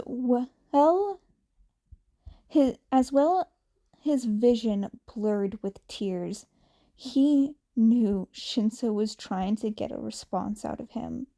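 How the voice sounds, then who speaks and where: A woman's voice: a little high, vocal fry, warm tone. A woman narrating a story from a script in a somewhat hesitant way, drawing out some words. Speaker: fan fiction reader.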